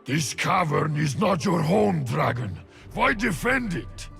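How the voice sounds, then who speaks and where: A weary warrior shaman of the frozen wilds, with a heavily gravelled, creaking voice. He speaks slowly and solemnly, giving each phrase weight, as a video game character over background music. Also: a little high, very animated, a bit bright, full character voice. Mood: grave, brooding. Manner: slow, solemn, weighty delivery.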